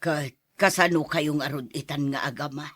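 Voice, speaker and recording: A woman's voice: sits low, steady tone, normal speed, fairly bright tone, slightly rough, no room sound, studio quality. An actress in a radio drama playing a fussing, affectionate elderly mother and grandmother. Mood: concerned.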